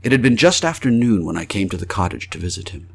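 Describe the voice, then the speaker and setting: A man's voice: low voice, big pitch swings, fast talker, vocal fry, slightly rough, full character voice. A male actor playing a tormented spirit trapped outside his body, in a florid, old-fashioned melodramatic horror monologue. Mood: haunted, grave. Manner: theatrical, florid, swelling from hushed to frantic cries.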